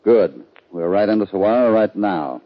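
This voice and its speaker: A calm, fair-minded cowboy hero with a very low voice. He speaks in terse, commanding lines in an old western radio drama, on a dull vintage recording. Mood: firm, unruffled. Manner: measured, authoritative, terse. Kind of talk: 1950s radio western acting.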